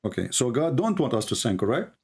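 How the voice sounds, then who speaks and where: A man's voice: medium pitch, sing-song, quick pace, darkish tone, slightly rough. A man whose first language is Arabic, hosting an argumentative live debate in non-native English, insistently repeating his phrases. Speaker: host, religious debater.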